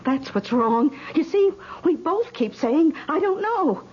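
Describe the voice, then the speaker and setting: A woman's voice: high voice, lively intonation, takes it slowly. An actress playing a troublemaker's suspicious, anxious girlfriend in a radio drama, blunt and pleading. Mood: worried, pleading. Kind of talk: 1970s radio drama acting. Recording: muffled vintage radio recording.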